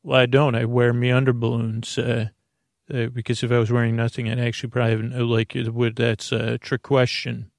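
Man voicing a hammy balloon-underwear inventor, gravelly, says me for my, dry mic. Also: mid-range voice, completely flat delivery, a little fast, dry recording, natural voice. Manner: hammy, pirate-like "me" for "my".

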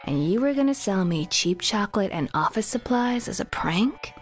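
No-nonsense young woman mechanic, played by an actress, medium pitch, very animated sarcasm, sci-fi comedy drama. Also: moderate pace, faint music behind, lightly acted. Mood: indignant, firm. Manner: dry, sarcastic retorts. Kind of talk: comedy audio drama acting.

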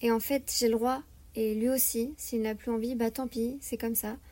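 Woman, highish mellow voice, calm reflective testimony, flat delivery. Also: moderate pace. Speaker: interviewee. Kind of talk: personal testimony.

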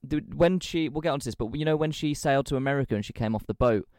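A man's voice: mid-range voice, dry recording. Twenties, male, from England, easygoing podcast co-host, mid-range voice, dry room. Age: 20s. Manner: animated, swearing, quick asides. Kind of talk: casual chat.

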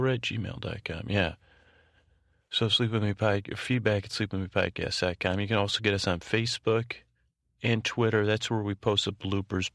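A man hosting a sleep podcast with a meandering, whimsical monologue, halting and restarting as he drifts between thoughts. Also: on the low side, gravelly, fairly bright tone, no room sound, studio quality. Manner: halting, digressive, trailing off and restarting mid-thought; whimsical, tongue-in-cheek. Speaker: podcast host. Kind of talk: rambling, meandering bedtime monologue meant to lull listeners to sleep.

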